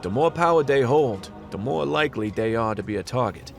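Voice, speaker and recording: A hard-boiled detective played by a man in a fantasy radio drama. He is world-weary and knowing, with brooding, deadpan lines that swing widely in pitch, over faint music. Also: brisk, creaky. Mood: resigned, wry. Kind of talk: noir radio play narration and dialogue.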